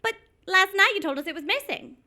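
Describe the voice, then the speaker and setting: A woman plays a scatterbrained radio-comedy wife with a high, bright voice. She swings brightly through her lines in old-time radio style, cheerful and entirely oblivious. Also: animated, brisk, exaggerated voice. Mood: pleased, innocent. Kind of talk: 1940s radio comedy acting.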